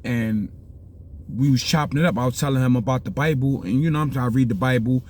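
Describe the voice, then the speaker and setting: Bronx man with a low, mellow voice. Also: fast talker, slight background noise. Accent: New York (Bronx). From South Bronx, New York. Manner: rambling, keeps asking "you understand?". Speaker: vlogger giving life advice. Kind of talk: casual street talk.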